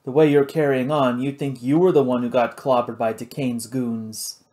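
Casting: male reader playing cool, slippery pirate aviator, dry and teasing, middle pitch, adventure audiobook. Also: level tone, normal speed, a little mellow, close to an everyday voice. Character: unflappable roguish male pirate pilot, slyly evasive.

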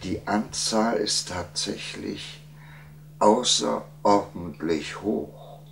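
A man's voice: on the low side, drawn-out words, vocal fry, slight background noise, pitched above the performer's own voice, full character voice. A man voicing a cautious, deferential court mathematician in a storybook tale, formal and hesitant. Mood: careful, deferential. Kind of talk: storybook character acting.

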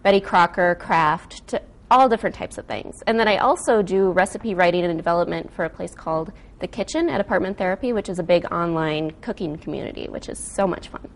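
A woman's voice: lowish voice, fairly quick. An American woman chatting casually and enthusiastically as a guest food stylist, walking through a cooking demonstration in informal speech. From Wisconsin, United States.

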